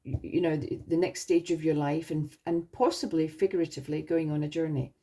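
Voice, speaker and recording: A woman talks viewers through a tarot spread in a reassuring, rambling way, often restarting her phrases. Her voice sits low and mellow, with a gravelly, husky edge from a sore throat. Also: steady tone, brisk. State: slightly hoarse from a sore throat. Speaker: tarot reader. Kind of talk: spoken tarot reading to viewers.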